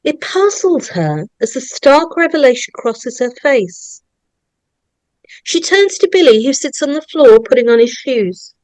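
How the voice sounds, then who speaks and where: A woman's voice: sits high, sing-song, a little slow, a little mellow, no room sound, low-quality recording. A woman narrating at a script table read, reading out screenplay stage directions.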